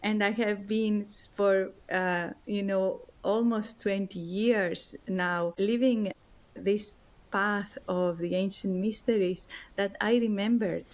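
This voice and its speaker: A woman from Greece with a Greek accent, an author answering interview questions in an earnest, heartfelt way and often repeating words as she searches for them. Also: medium pitch. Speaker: guest author and spiritual teacher. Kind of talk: interview answers, personal story.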